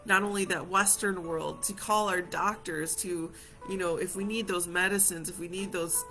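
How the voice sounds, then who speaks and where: A woman from Hawaii leading a reflective live session as a community healer, speaking gently and reassuringly to viewers and repeating phrases for emphasis. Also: fairly low pitch, steady tone, moderate pace, faint music behind, rough recording.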